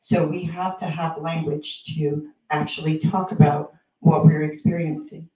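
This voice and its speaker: Mellow woman, roomy. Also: low-pitched, flat delivery, a little slow. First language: Pennsylvania Dutch. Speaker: panel speaker, researcher. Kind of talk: panel discussion talk. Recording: echoey room, distant mic.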